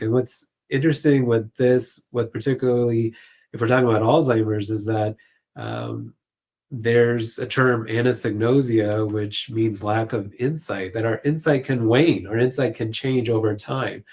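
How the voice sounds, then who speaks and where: Low-pitched man explaining conversationally. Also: darkish tone. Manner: halting, informal, peppered with "you know" and "like". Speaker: clinician guest.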